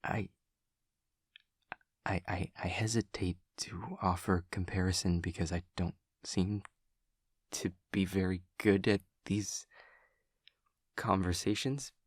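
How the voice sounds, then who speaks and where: A man plays an awkward, earnest demon classmate in an intimate audio roleplay. His voice is low and breathy, and he talks slowly in stiff, formal wording, stammering as he picks each literal phrase. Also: steady tone, no room sound, clean studio sound, heavily acted. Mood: gentle, halting reassurance. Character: awkward, earnest male demon classmate who senses emotions. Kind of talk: scripted audio roleplay to the listener.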